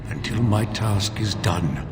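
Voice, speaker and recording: A man's voice: on the low side, slow. A man playing a solemn, ageless guardian of a holy relic in a video game, speaking in a stately, formal way. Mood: solemn welcome. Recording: echoey, with clear background ambient noise.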